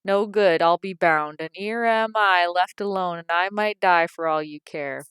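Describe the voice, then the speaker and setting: A woman's voice: fairly even intonation, normal speed, clean tone, dry recording, close to an everyday voice. A woman voicing a cantankerous, self-pitying old working-class mother with a Cockney accent, complaining and scolding. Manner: complaining, shrill when angry.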